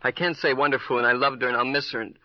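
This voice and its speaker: An actor playing a bitter, brittle seventeen-year-old city boy in an old radio crime drama, halting and needling. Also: mid-range voice, fairly even intonation, no room sound, close to an everyday voice. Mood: defensive, bitter. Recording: dull, dry old radio recording.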